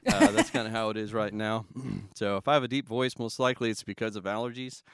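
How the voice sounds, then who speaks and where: Relaxed pastor, man, smooth dry voice. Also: on the low side, fairly quick, no echo. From Southern California. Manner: relaxed, rambling with fillers and chuckles. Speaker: pastor and podcast guest. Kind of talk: casual podcast interview.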